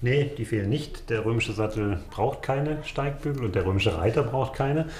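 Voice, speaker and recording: A man's voice: mid-range voice, moderate pace, a little mellow. Echoey male expert explaining on site. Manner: factual, explanatory, spontaneous phrasing. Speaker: archaeology expert and site guide. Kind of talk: spoken interview explanation. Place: on location at a museum site. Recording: echoey on-site sound with background noise.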